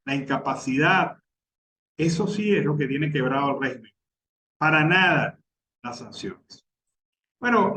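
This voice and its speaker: A Venezuelan man delivers indignant news commentary with a fairly high voice, stacking points into pointed lists. He sounds set back from the mic, with clear noise running behind him. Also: fairly bright tone, far from the mic, low-quality recording. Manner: emphatic, indignant, building lists. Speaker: radio news host.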